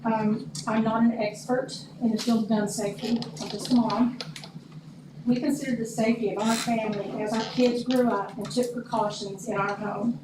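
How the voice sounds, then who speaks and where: A woman's voice: fairly high pitch, steady tone, moderate pace. A woman with an Oklahoma accent and a scratchy voice, speaking earnestly as a parent at a public meeting. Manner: plain, earnest speech. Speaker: public commenter. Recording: echoey room, distant mic, noisy.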